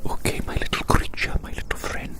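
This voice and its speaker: A man whispering in a gentle, soothing, breathy and unhurried ASMR roleplay, softly repeating his phrases. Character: gentle giant human befriending a little creature. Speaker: ASMR performer. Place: outdoors in the grass.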